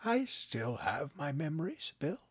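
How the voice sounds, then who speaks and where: A man narrating a story, voicing a calm, frail old grandfather who speaks slowly and gently. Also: a little high, husky, no echo, pitched above the performer's own voice, exaggerated voice. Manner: slow, gentle, unbothered.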